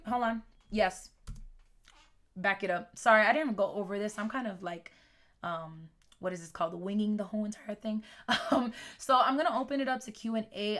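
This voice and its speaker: A woman's voice: medium pitch, fairly bright tone. A young woman fitness coach talking casually on a live webinar, rambling with lots of fillers, her voice a little worn. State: losing her voice.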